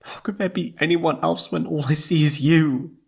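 Man acting a husky, lovesick young guy. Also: a little high. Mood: anguished. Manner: halting, emotional, confessional. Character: lovesick, self-blaming young male best friend confessing love. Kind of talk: intimate ASMR romantic roleplay acting.

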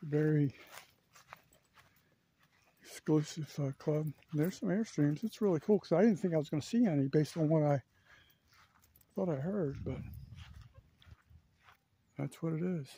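A man's voice: a little high, a little slow, long pauses, warm tone, a little hiss or hum. A man narrating a casual walking tour of a campground, thinking aloud with lots of fillers, recorded outdoors on a handheld camera. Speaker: vlogger giving a walking tour. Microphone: handheld camera while walking.

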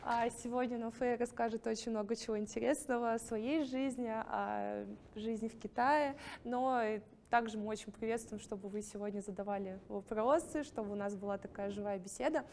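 A woman's voice: a little high, steady tone, moderate pace, darkish tone, slight background noise. A young woman hosting an event in a library hall, introducing the guest with some stumbles. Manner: hesitant, stumbling. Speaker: event host.

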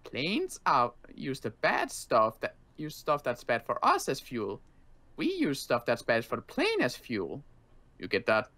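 A weary male survivor in a zombie game, played by a man. He speaks dryly and haltingly at a middle pitch, grudging and curt with those around him. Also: huge pitch swings, bright, forceful tone, no room sound, heavily acted. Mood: dry, explaining. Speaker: roleplay player. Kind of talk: improvised game roleplay.

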